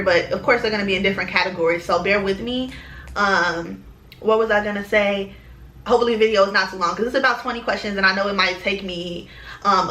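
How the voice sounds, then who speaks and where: Casting note: woman, bright voice in an echoey room, loose and chatty. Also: mid-range voice. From Chicago, US. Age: 20s. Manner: chatty, rambling with many ums and slang. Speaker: lifestyle vlogger. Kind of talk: casual chat to camera.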